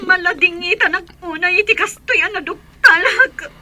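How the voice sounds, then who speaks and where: A woman's voice: extremely high pitch, normal speed, pushed and bright, full character voice. An Ilocano woman playing a bitter, distraught wife in an audio drama. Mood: regretful. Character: bitter, distraught wife blaming her man. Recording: clear background noise.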